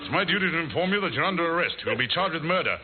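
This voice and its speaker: A man playing a brisk, businesslike Scotland Yard inspector in an old radio drama, clipped and authoritative. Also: on the high side, animated, a crowd in the background, natural voice. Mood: stern. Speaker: police inspector. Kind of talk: 1940s radio drama acting. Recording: tinny, hissy old radio recording.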